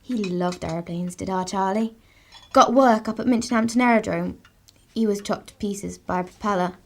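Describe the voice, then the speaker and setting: Radio play: actress as plain-spoken 1930s maid, broad country Gloucestershire speech, dry and matter-of-fact. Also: female voice, mid-range voice, normal speed, lightly acted. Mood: wry, matter-of-fact.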